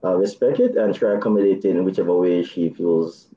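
Man, 30s, Jamaican accent, very deep, mellow, muffled video call audio. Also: fast talker, echoey room. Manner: soft-spoken, nervous laughs. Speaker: dating show contestant. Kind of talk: casual video call chat.

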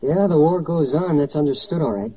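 An actor playing a plain-spoken, doubting American soldier in 1940s radio style. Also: male voice, fairly high pitch, expressive, brisk, lightly acted. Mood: questioning. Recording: dull old 1940s radio recording.

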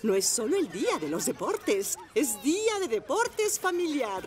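A woman's voice: high-pitched, big pitch swings, a little slow. A woman voicing a cheery motorcycle who shepherds little ones in a cartoon. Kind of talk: Spanish-language cartoon dub acting. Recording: cartoon music under the voice.

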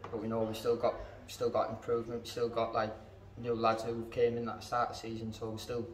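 Young man, very flat voice, echoey. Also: medium pitch, brisk, a little hiss or hum. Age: young adult. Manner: plain, matter-of-fact, frequent fillers. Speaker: football team captain. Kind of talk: post-match interview. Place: indoors in front of a club sponsor backdrop. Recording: echoey room, mic at a distance.

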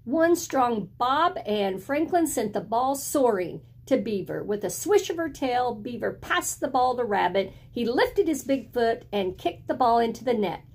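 A middle-aged woman reading a picture book aloud in an expressive, deliberate storytelling style, indoors at home. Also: fairly high pitch. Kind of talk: expressive children's read-aloud. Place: small indoor room at home with bookshelves.

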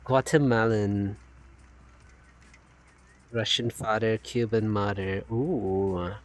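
A man hosting a casual chat stream in an animated, rambling way with frequent laughs and a non-native accent. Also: on the low side, slow pace. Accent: non-native, unnamed. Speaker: streamer host.

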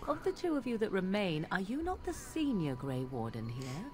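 A woman's voice: medium pitch, very animated, normal speed, music in the background, close to an everyday voice. An actress playing a haughty young witch who needles others in a formal, archly mocking way, in a video game. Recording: clear outdoor ambience noise behind.

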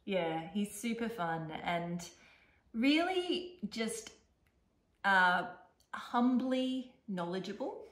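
A middle-aged woman with an Australian accent chatting casually as a knitting podcast co-host, often pausing to think aloud. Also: mid-range voice, big pitch swings, fairly bright tone. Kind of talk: casual chat showing knitting projects. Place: living room, seated on a couch. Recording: echoey room.

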